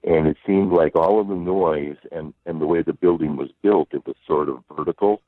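A man with a strong, powerful voice, a former arena announcer, telling stories in a relaxed way during a phone interview. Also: low voice, dry recording. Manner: unhurried storyteller, many fillers. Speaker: guest, former arena public address announcer. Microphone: telephone. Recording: phone line.

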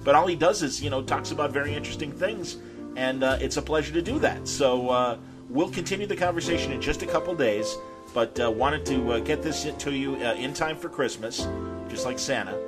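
A man's voice: a little high, fairly quick, over music. Casual male podcast host with a rambling delivery. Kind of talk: casual chat, unscripted sign-off to listeners.

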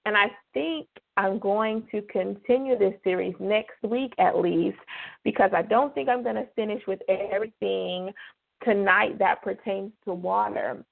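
Slightly hoarse woman on a phone line. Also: mid-range voice. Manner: chatty, drawn-out emphasis on key words. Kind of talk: casual devotional radio talk. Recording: phone-line call-in radio.

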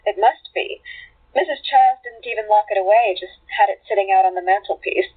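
A woman's voice: mid-range voice, a little fast, breathy edge, narrow, tinny audio, natural voice. A woman playing a polite, effusive estate sale hostess in a radio play, eager to please. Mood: polite, helpful.

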